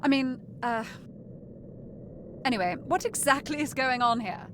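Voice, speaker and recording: A woman's voice: sits high, animated, a little slow, noisy background. A woman playing a haughty, wealthy young lady in a visual novel, with an airy, condescending manner. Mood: dismissive, scornful. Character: haughty, wealthy young woman, sly and dismissive mansion heiress. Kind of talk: visual novel character voice acting.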